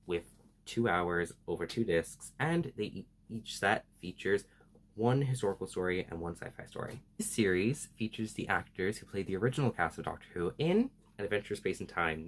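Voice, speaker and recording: A man hosting a semi-scripted explainer vlog, speaking in an earnest, emphatic and enthusiastic way. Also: low-pitched. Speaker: video host.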